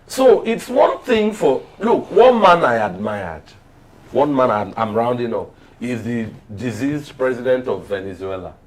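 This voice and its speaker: A man with a Nigerian accent giving political commentary in an emphatic, halting way, repeating phrases for stress. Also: mid-range voice, very animated, slight background noise. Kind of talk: political discussion, storytelling commentary.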